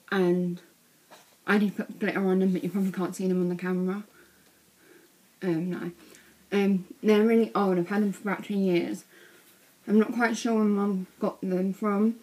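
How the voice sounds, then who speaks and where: A teenage girl, chatting casually in a vlog from her bedroom, a bit croaky from being ill, with lots of ums. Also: medium pitch, a little mellow, poor audio. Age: teens. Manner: chatty, rambling with many ums. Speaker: vlogger. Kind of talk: casual vlog showing bag contents. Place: small bedroom indoors.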